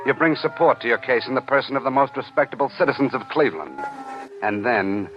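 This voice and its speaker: A man narrating a 1940s radio drama as a grave, deliberate storyteller. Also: mid-range voice, natural voice. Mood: grave, building. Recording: dull old 1940s radio broadcast, music behind.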